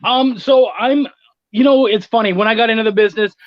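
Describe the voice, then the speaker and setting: High-voiced middle-aged man, dull lo-fi audio. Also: a little fast, no room sound. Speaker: guest, freelance editor and writer. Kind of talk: casual interview chat.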